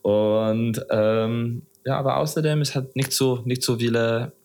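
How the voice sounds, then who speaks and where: A man's voice: mid-range voice, steady tone, unhurried, darkish tone. A man from England with an English accent, a non-native speaker chatting casually as an interview guest and often searching for words. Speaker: podcast guest.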